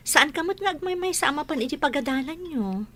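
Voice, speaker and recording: A woman playing a gentle mother and teacher in a radio drama, speaking in an encouraging way. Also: high voice, animated, brisk.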